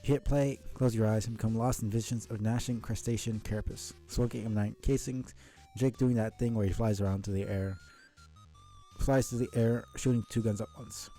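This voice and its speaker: A man's voice: lowish voice, huge pitch swings, dark tone, faint music behind. A man reading a story aloud on a live stream, stumbling over words now and then and dropping in casual asides. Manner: halting, stumbles and rereads words.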